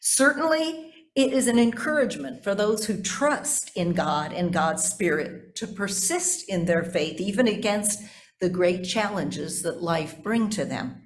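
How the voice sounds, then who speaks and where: A woman's voice: mid-range voice, lively intonation, a little slow. A woman pastor preaches a sermon with a mid-range voice. She explains scripture and puts emphatic stress on key words.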